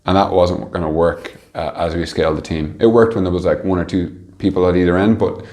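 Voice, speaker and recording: Male interview guest, low register, conversational delivery with halting, think-as-you-go phrasing. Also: steady tone, a little mellow. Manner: hesitant, halting, thinking aloud. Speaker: interview guest, team manager.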